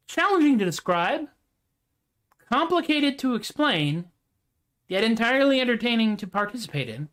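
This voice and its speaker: A man hosting his own music livestream, chatting casually with self-deprecating jokes and trailing off into quiet asides. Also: very high voice. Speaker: host and singer-songwriter. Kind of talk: casual livestream chat after a song.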